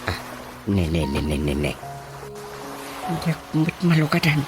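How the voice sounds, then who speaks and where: A man playing a cranky, boastful old father in a radio comedy drama, with an Ilocano accent and drawn-out comic exclamations. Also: deep voice, huge pitch swings, music in the background, heavily acted. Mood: gloating, muttering. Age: older.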